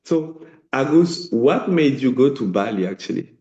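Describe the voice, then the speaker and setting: A man's voice: mid-range voice, animated, moderate pace, warm tone, dull audio. A French man with a French accent, a native French speaker hosting an interview for language learners in an encouraging, chatty way over a video call. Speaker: language teacher, host.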